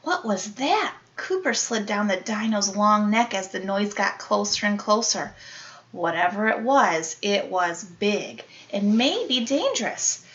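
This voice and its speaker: A woman reading a children's picture book aloud like an elementary teacher, animated, with dramatic emphasis and playful sound-effect words. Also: medium pitch, a little slow, highs cut off.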